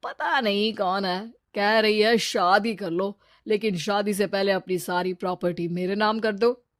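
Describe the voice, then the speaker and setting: A man voicing an arrogant, fickle, smooth-talking husband in a Hindi cartoon story, recorded dry. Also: sits high, fairly even intonation, unhurried, fairly bright tone, dry recording. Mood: scornful.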